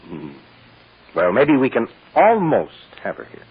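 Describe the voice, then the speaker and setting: A man's voice: medium pitch, wildly swooping pitch, unhurried. An actor playing a kindly, fatherly defense lawyer in an old radio drama. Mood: gentle. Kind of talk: 1940s-50s radio drama acting. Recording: muffled, noisy old radio recording.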